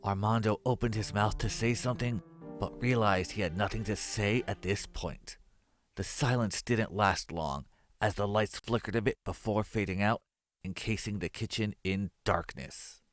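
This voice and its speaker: A man with a fairly low voice reads a scripted horror story as narrator. Music plays behind him. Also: moderate pace, dry recording.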